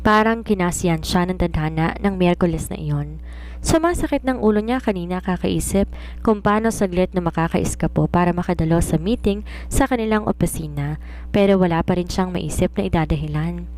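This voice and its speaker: A Filipino woman reads a romance novel aloud as a storyteller. Her voice sits a little low for a woman, and she follows the script in narration style. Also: brisk, darkish tone.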